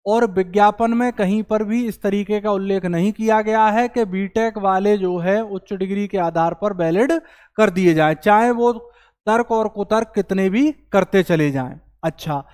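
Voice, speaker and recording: A man's voice: sits high, normal speed, darkish tone, clean tone. A man teaching in an explanatory lecture style, reading out passages, explaining them step by step and posing questions that he answers himself. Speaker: coaching teacher. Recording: long hall reverb on the voice.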